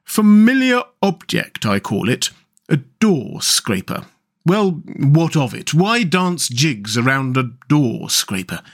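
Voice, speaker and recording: A man voicing a self-pitying, literal-minded male mole in a storybook, in a plaintive, pettish, deliberate way. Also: medium pitch, big pitch swings, exaggerated voice. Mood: grumbling, indignant. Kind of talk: storybook character voice.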